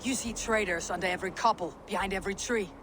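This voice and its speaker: Viking war leader, game role male actor: blunt, loyal, commanding, notably high male register, noisy outdoor bed. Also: quick pace, close to an everyday voice. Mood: defiant. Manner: terse, direct, commanding. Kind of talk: dramatic video game cutscene acting.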